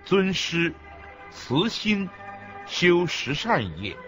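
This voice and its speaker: A man's voice: high-pitched, big pitch swings, extremely slow, a bit bright. A man solemnly reciting scripted religious teachings in a radio lecture. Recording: dull lo-fi audio with background music.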